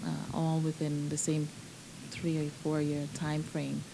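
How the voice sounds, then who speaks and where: A woman surgeon in her forties, answering interview questions in an animated, emphatic way. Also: sits low, a little slow, gravelly, background noise. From Bahamas.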